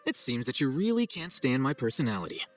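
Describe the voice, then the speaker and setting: A man playing an arrogant young scholar in a video game, composed and deadpan, with a condescending dryness. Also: medium pitch, quick pace, faint music behind, close to an everyday voice. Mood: taunting. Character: cool, arrogant young male scholar, dry and sardonic.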